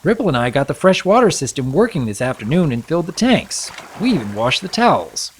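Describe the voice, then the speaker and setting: A man's voice: a little high, sing-song, brisk, slight background noise, voice pushed up. A man voicing an earnest, lovestruck young pony baron in a storybook reading, with an eager, awkward, blurting manner. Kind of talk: dramatic reading of a fan story.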